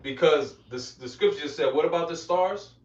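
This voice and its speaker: A man's voice: a little high, moderate pace, darkish tone. Emphatic male preacher, distant and echoey. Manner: emphatic, repeats phrases, prompts others. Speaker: street preacher and teacher. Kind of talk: interactive scripture teaching with commentary. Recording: dull, echoey, distant from the mic.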